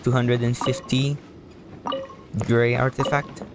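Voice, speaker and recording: A man casually commentating a live game stream, explaining things in a hesitant, halting, rambling way. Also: mid-range voice, little pitch movement. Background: music.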